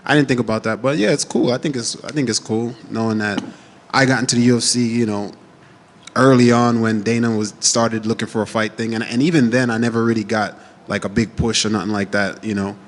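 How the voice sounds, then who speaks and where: A man's voice: on the low side, fairly even intonation, fairly quick, long reverb tail, slight background noise. A man in his thirties who grew up in Jamaica, a fighter answering media questions in a casual, confident, trash-talking way. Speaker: MMA fighter. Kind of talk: media day interview answers.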